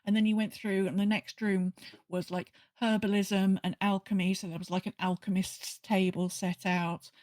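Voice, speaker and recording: A woman's voice: medium pitch, level tone, moderate pace, a little mellow, no room sound. Enthusiastic woman podcaster, close dry sound. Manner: enthusiastic, rambling. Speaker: podcast host. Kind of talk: casual podcast chat about a video game.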